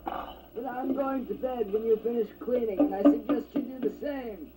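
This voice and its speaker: A man voicing a cautious homebody who is wary of danger, speaking in a stiff, measured way in an amateur audio drama. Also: very high-pitched, heavy background noise.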